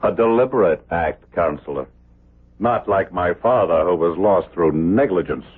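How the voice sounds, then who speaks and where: An actor playing a bitter, accusing grown son, speaking in a deliberate, pointed way in an American radio drama of the 1970s. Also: male voice, low voice, animated, very noisy. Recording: muffled, lo-fi old radio broadcast.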